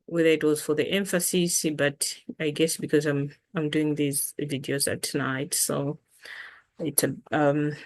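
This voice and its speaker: Female language teacher, Malawian accent, slightly low pitch, unhurried delivery, informal lesson with deliberate repetition. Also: level tone. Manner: repeats words deliberately for learners.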